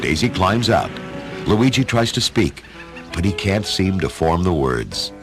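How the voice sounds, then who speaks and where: A man's voice: deep voice, big pitch swings, measured pace, music in the background. A man reading scripted narration for a dramatic audio story.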